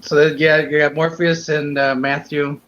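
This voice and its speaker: A man in casual conversation about comics, explaining things in a tentative, halting way as a panelist. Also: on the high side, little pitch movement, a bit bright, reverberant, lo-fi sound. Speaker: panelist on a comics drawing show.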